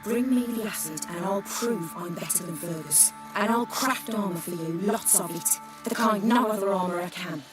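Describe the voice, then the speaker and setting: A woman's voice: on the low side, normal speed, hardly any pauses, natural voice. An actress playing a proud, resentful craftswoman in a fantasy game, speaking bluntly and assertively. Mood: determined. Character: proud, blunt, resentful woman armourer, determined to prove herself. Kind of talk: dramatic game dialogue. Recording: game dialogue with music behind.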